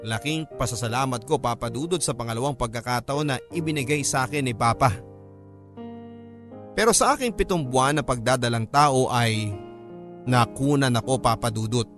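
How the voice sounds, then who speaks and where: A man's voice: medium pitch, moderate pace, fairly bright tone, faint music behind, lightly acted. A man with a Filipino accent narrating a radio drama as a remorseful grown child telling a family story. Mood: regretful. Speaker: story narrator reading a letter.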